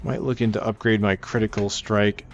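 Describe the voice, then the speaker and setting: A man's voice: on the low side, flat delivery, moderate pace. A man giving casual walkthrough commentary on a video game, as the narrator of a gaming video. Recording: slightly dull mic, game music behind. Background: video game music.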